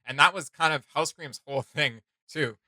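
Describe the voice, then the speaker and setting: A man's voice: a little high, moderate pace, bright, forceful tone, no room sound. A man hosting a reaction video, thinking aloud in casual, rambling commentary.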